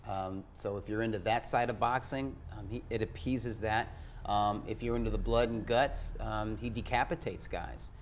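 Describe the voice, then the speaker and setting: A man's voice: on the low side, steady tone, normal speed, a little hiss or hum. Analytical man on a boxing panel. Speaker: boxing magazine editor, panelist. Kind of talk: casual sports analysis.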